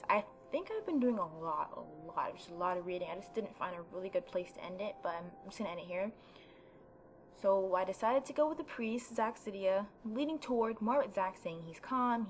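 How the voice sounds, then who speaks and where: A woman's voice: mid-range voice, slight background noise. A woman hosting a casual let's play, giving informal, opinionated commentary. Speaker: gaming video host.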